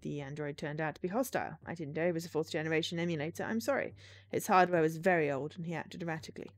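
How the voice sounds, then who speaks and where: A woman's voice: low voice, fast, close to an everyday voice. Woman acting a cool, guarded female agent. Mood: guarded, dutiful. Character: cool, guarded female android-hunting agent, tired of her job. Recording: music behind the voice.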